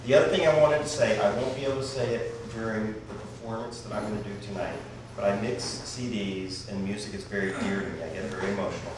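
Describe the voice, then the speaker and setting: A man's voice: on the low side, fast talker. A man speaking informally to a group as a fellow workshop participant and performer. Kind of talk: informal sharing in a group circle. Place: large indoor classroom with wooden floor. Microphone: camera mic from across the room. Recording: echoey room, distant camera mic, noisy.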